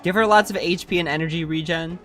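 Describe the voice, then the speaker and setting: A game streamer doing casual commentary, thinking out loud and chatting in slang while reading viewer comments. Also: medium pitch, quick pace, faint music behind.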